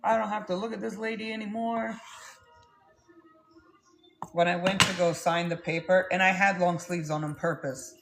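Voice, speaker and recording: Woman, forties, gravelly voice, blunt, rambling, candid, faint noise behind. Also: fairly low pitch, fairly even intonation, moderate pace, long pauses. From Texas, US. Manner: blunt, swears freely, rambling. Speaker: vlogger. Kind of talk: candid storytelling to camera.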